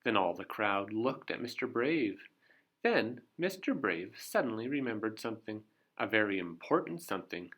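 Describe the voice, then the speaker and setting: A man reading a children's story aloud as an expressive narrator. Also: lowish voice, normal speed. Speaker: storybook reader.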